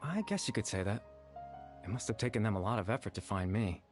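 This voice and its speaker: Man playing a low-voiced, courteous melancholy man. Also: expressive, quick pace, over music, lightly acted. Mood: resigned. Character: courteous, gentle, melancholy man resigned to parting. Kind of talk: video game voice acting.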